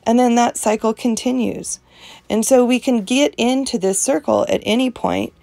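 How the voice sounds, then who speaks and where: A woman's voice: on the high side, normal speed, gravelly, mellow, no echo. A woman hosting an art journaling video, chatting earnestly and emphatically about faith, with a strong voice and frequent laughs. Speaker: host of an art journaling channel. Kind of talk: casual devotional chat while art journaling.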